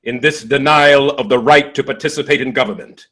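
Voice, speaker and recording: A man acting as a dignified nineteenth-century abolitionist orator with a husky voice. He delivers a stage monologue in stately, impassioned phrases that swell and fall away, set off by deliberate pauses. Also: medium pitch, a bit bright, lightly acted. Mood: emphatic, righteous. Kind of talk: dramatic monologue, theatrical oratory.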